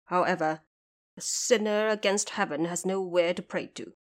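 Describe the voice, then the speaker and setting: A woman's voice: fairly low pitch, normal speed, lightly acted. A woman voicing a male pig monster, submissive and earnest in repentance, in an audio drama. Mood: repentant.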